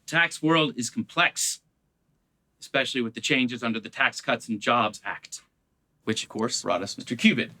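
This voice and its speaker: An actor playing a dry, clipped private investigator in a film-noir style skit, with a gravelly drawl. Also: male voice, medium pitch, moderate pace, sharp tone, a little hiss or hum, lightly acted. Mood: hard-boiled. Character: hard-boiled male private eye, clipped and dry. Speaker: detective lead in a tax explainer skit.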